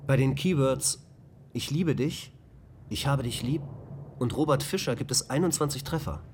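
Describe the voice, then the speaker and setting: A polite search program lists keywords and results in a calm, matter-of-fact tone in a radio drama, as a man voices it. Light hiss sits under the even, mid-pitched voice. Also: moderate pace, close to an everyday voice.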